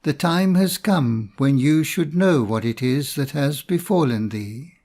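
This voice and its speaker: A grave, deferential old priest of a fairy-tale court, played by a man reading aloud. He talks slowly, with solemn, courtly formality. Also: medium pitch, expressive, darkish tone.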